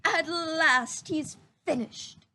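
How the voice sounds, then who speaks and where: A woman's voice: sits high, very slow, sharp tone, voice pushed up, exaggerated voice. A woman voicing a kindly, doting old male wood carver from a storybook, fond and exclaiming. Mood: pleased.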